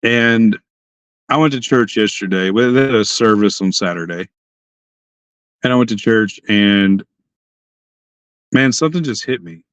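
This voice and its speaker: A hoarse young man hosting a casual livestream chat from his parked car, rambling and often restarting his sentences. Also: lowish voice, a little slow, pauses often, a bit bright, dry recording. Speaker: livestream host.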